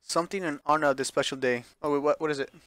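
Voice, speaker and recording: A man's voice: a little high, steady tone, rapid-fire, a bit bright. A man streaming a visual novel, reading it aloud with offhand reactions and correcting himself when he stumbles over words. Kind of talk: live playthrough read-aloud with offhand reactions.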